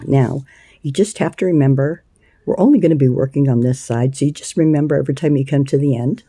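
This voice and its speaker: A woman with a scratchy voice hosting a casual crochet tutorial, chatty and unhurried, with plenty of ums and uhs. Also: very deep voice, warm tone, slightly rough, no echo, studio quality. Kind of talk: casual hands-on craft tutorial.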